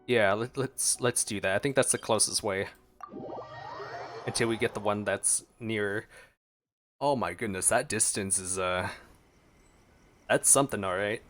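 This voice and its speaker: A man's voice: fairly low pitch, measured pace, pauses often, a bit bright, a little hiss or hum. A man streaming a game with casual reaction commentary, musing aloud and exclaiming. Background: faint game music.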